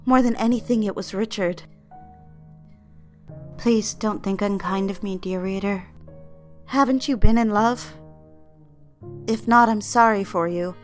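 An actress playing a timid, lovestruck secretary in a period audio drama, speaking softly and hesitantly, as if confiding. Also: female voice, medium pitch, measured pace, pauses often, soft background music, natural voice. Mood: yearning, confessional. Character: timid, lovestruck female 1960s secretary yearning for attention. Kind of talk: period audio drama acting, confessional first-person narration.

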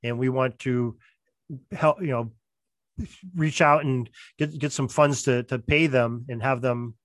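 Male podcast guest, mid pitch, dry close sound, halting, rephrasing, casual explanatory talk. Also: steady tone, no room sound. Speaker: podcast guest, audio drama producer. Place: remote call on a computer.

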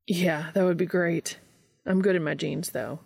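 Woman voicing cheeky slangy young man, very creaky. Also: fairly low pitch, fairly even intonation, a little slow, darkish tone, heavily acted. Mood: shy. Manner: casual slangy wisecracking. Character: young man, cheeky, quipping, bashful new lover.

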